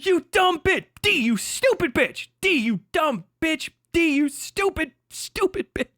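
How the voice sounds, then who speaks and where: A man's voice: very high voice, very animated, unhurried, bright, forceful tone, dry recording, clean studio sound. A man hosting a live stream, ranting heatedly and profanely with frequent laughs. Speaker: streamer host.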